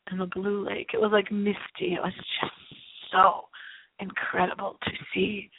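A woman hosting a live radio show in a rambling, casual, playful way, with theatrical drawn-out asides. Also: medium pitch. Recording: thin, phone-like internet broadcast audio.

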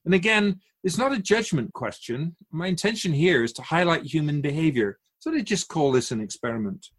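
A man playing an otherworldly, patient keeper of souls in a radio drama, asking measured, probing questions in a husky voice. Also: on the high side, sing-song. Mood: calmly instructive.

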